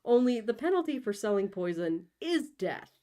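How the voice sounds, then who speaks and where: A woman's voice: on the high side, big pitch swings, moderate pace, mellow, voice pushed up. A woman voicing a poor, hesitant, plain-spoken male shopkeeper in a comic storybook reading. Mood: obliging. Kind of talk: comic storybook read-aloud.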